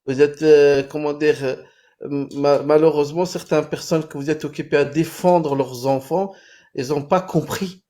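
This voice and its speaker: A man chatting as a guest on a livestream, halting and supportive, often searching for words. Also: on the high side, steady tone, dark tone. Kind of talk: casual livestream chat.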